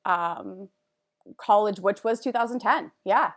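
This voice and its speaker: A woman's voice: medium pitch, a little slow. A woman in her thirties whose first language is French, talking casually as a podcast guest in a rambling, bubbly way full of "like". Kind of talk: casual interview chat.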